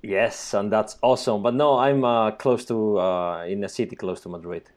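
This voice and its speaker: A man's voice: on the low side, moderate pace, a little mellow. A man from Spain with a Spanish accent, a native Spanish speaker, answering as a podcast guest with frequent hesitant "uh"s. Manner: hesitant, many "uh"s. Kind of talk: casual interview answers.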